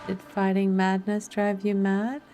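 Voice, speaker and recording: A woman doing casual let's play commentary as a streamer, low-key and half muttering to herself. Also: monotone, unhurried, mellow. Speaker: game streamer. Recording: music playing behind. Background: clear background music.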